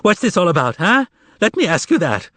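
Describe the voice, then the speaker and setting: A man's voice: high-pitched, sing-song, a little fast, pushed and bright, no room sound, pitched above the performer's own voice, exaggerated voice. A man doing a thin, quavering trickster. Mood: indignant. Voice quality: thin, tremulous voice. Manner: wailing, sarcastic, pleading harangue. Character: thin, shrill, theatrical male trickster ex-choirmaster.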